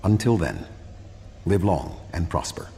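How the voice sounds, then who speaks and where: An actor playing a grave, logical alien statesman in a video game, speaking in a measured, formal way. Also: low voice, animated, gravelly, mellow, full character voice. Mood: formal farewell. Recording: reverberant game audio, faint background hum.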